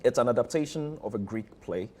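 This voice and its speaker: A man's voice: mid-range voice, lively intonation, brisk, warm tone, a little hiss or hum. A Ghanaian-accented man being interviewed as a theatre director, talking conversationally and leaning on repeated phrases for emphasis.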